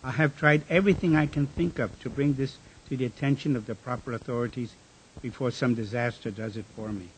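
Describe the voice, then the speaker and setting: An actor playing a frustrated whistleblower in a radio drama, reading out a formal letter in a measured, deliberate way. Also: male voice, mid-range voice, fairly even intonation, a little hiss or hum, lightly acted, low-quality recording. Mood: determined, formal.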